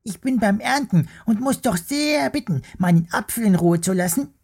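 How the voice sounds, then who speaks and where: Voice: medium pitch, expressive, normal speed, a little mellow, slightly rough, pitched above the performer's own voice. A storyteller voicing a small, indignant male worm who lives in an apple, huffing and complaining in a children's story. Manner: indignant, huffy. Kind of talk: storybook character voice.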